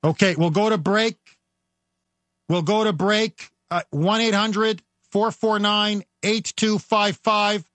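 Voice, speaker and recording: A man guest-hosting a talk radio show, talking in a forceful, rhetorical way and speeding up as he presses his points. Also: high voice, fairly even intonation, slow pace, no echo. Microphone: studio microphone.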